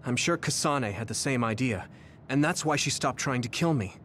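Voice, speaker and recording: A man playing a determined, burdened young soldier in a video game, speaking in an earnest, measured way. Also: medium pitch, animated, fairly bright tone, a little hiss or hum, natural voice. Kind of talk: video game cutscene acting. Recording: dramatic music behind the voice.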